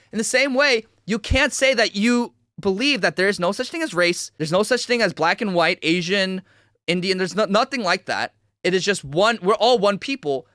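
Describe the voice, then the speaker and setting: A man's voice: high-pitched, bright, forceful tone, no echo, clean studio sound. A young man of Korean origin giving a heated, repetitive livestream commentary rant as host. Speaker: streamer host.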